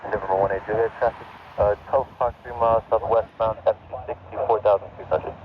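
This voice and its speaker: A low-voiced man reads out clipped air traffic control instructions at a rapid clip. His delivery is flat and businesslike, heard through a radio with clear static behind it. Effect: aviation radio transmission. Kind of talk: clipped procedural radio phraseology. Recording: muffled, loud static-filled aircraft radio.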